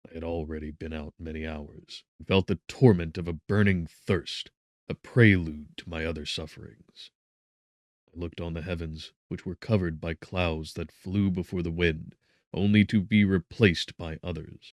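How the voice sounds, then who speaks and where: Very deep-voiced man narrating gothic horror. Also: fairly even intonation, moderate pace, no room sound. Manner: theatrical, solemn, with strong swells and drops in volume. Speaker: audiobook narrator. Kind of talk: dramatic scripted narration of a gothic novel.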